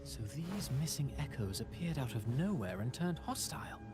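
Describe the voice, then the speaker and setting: An actor playing a courteous, measured man of authority in a video game, speaking formally. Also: a little high, sing-song, over music. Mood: intrigued, concerned. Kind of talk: video game dialogue acting.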